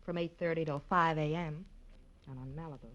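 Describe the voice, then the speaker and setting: A woman's voice: low voice, fairly even intonation, fairly quick, fairly bright tone, highs cut off. An actress playing a cool, flirtatious, sardonic woman in a 1940s hard-boiled radio drama. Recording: old radio recording, faint hiss.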